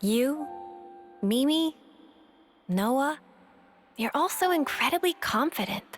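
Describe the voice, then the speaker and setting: A woman's voice: sits high, lively intonation, slow, soft background music. An actress playing a timid, sweet, self-doubting young woman in a video game, hesitant and full of wonder. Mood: unsure, envious. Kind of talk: video game cutscene acting.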